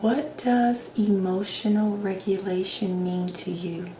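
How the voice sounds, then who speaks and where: A woman speaking softly, at times in a whisper, in a gentle, reassuring ASMR roleplay as a doctor questioning a patient. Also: mid-range voice, slow. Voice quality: soft, partly whispered. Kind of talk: soft-spoken ASMR roleplay. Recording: roomy echo, clear background noise.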